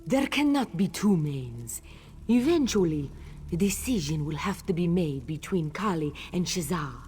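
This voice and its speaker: Game priestess: solemn cat-folk ritual leader, lowish voice, very unhurried, ceremonial. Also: female voice, lively intonation, a little mellow, soft background music. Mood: solemn explaining. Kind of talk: fantasy video game character dialogue.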